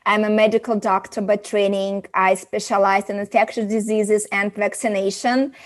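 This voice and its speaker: A woman with a Russian accent speaks as a guest on an interview show. Her voice is of average pitch for a woman. She explains in a conversational, halting way, often restarting and rewording her phrases. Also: flat delivery. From Moscow, Russia. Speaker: interview guest, company founder and doctor.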